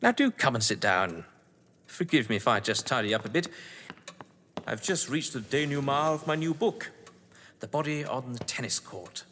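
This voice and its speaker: Casting: male actor as genteel English lord-of-the-manor novelist, jovial, theatrical, very animated pitch; reverberant stage-thriller sound. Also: normal speed, slight background noise, lightly acted. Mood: genial. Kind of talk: stage thriller audio drama acting. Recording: long reverberant hall echo.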